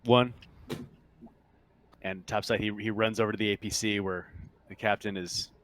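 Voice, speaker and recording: A man's voice: fairly low pitch, steady tone, moderate pace, a bit bright, slight background noise. A man narrating casually as game master of a tabletop roleplaying game.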